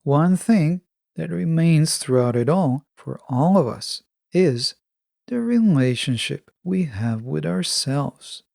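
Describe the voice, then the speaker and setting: A man hosting a self-help podcast, delivering a scripted monologue in a measured, instructive manner. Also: on the high side, lively intonation, gravelly, darkish tone, no echo, clean studio sound. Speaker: podcast host.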